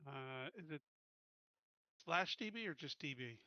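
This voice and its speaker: A man's voice: a little high, lively intonation, a little slow, pushed and bright, dry recording, muffled recording. A man chatting casually as a player in an online tabletop roleplaying game.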